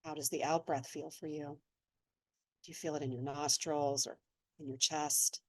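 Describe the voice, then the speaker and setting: A woman slowly and gently leading a guided meditation, speaking in a soothing way. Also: low voice, fairly even intonation, dry recording, studio quality. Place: seated in an office chair.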